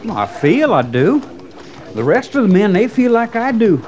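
An actor playing an eager, admiring, folksy cavalry trooper in a 1950s radio western. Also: male voice, sits high, sing-song, normal speed, darkish tone. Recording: dull, faintly noisy old radio recording.

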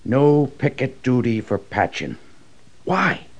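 An actor playing a commanding senior army major in a radio Western, authoritative and questioning. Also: male voice, medium pitch, very animated, slow pace, heavily acted. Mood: insistent. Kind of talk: old-time Western radio drama acting. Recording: dull, band-limited old radio recording.

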